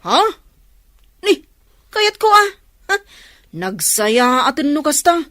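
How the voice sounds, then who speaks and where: A woman acting an excitable, easygoing motorbike rider in a village radio comedy drama, exclaiming with drawn-out delight. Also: sits high, dry recording, studio quality, full character voice.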